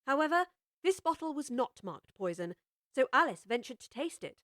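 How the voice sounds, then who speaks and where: A woman reading scripted storybook narration in a measured, expressive way. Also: high voice, no room sound, studio quality. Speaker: audiobook narrator.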